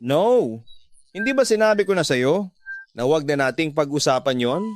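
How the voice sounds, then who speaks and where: A man's voice: fairly high pitch, expressive, normal speed, natural voice. A Filipino man voicing a guarded, coy young woman in a radio love drama. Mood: evasive.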